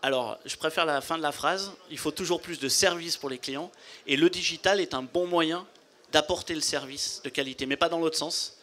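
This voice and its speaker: A man in his forties, a company founder, explaining his business in a measured way into a handheld mic at a trade fair studio booth. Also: a little high, sharp tone.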